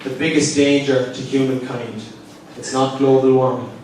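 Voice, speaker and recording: A young man from Ireland with an Irish accent, telling deadpan one-liners in a live stand-up set, pausing before each punchline. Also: mid-range voice. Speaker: stand-up comedian. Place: live comedy gig with an audience laughing. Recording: distant, roomy live recording with audience noise.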